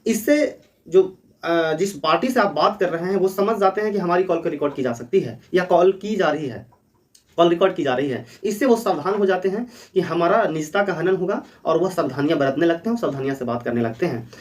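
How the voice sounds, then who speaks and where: Guy with a pretty high voice explaining stuff to viewers, earnest teacher type, sounds a bit away from the mic. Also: expressive, fairly quick, far from the mic. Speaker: host of a legal awareness channel.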